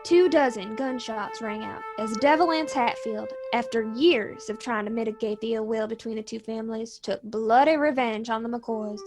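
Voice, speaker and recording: Woman acting as a solemn storyteller, radio drama narration, theatrical, measured, fairly high pitch, music underneath. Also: fairly even intonation, lightly acted. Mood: solemn, dramatic. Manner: measured, theatrical, literary delivery. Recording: music behind the voice.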